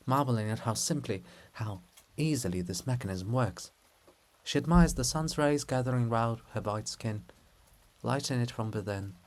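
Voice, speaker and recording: Casting: male narrator, measured and hushed, dramatic stage directions, middle pitch. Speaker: narrator of a play.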